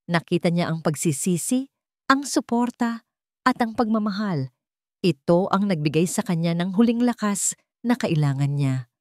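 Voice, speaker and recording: A woman's voice: lowish voice, lively intonation, normal speed, no echo, studio quality. A woman with a Filipino accent reading a dramatic scripted story as narrator.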